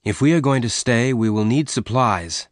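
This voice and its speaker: A man voicing a strong young cowboy in an audiobook, gentle and devoted, speaking in a measured, earnest way. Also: mid-range voice, no echo, studio quality, pitched above the performer's own voice, lightly acted. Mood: serious, earnest.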